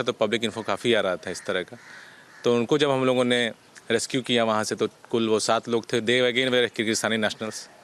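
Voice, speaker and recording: A man with an Indian accent speaking as a senior police officer in a press interview, official and explanatory with halting hesitations. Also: fairly low pitch, fairly bright tone, slight background noise. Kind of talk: press interview answers, mixing Hindi and English.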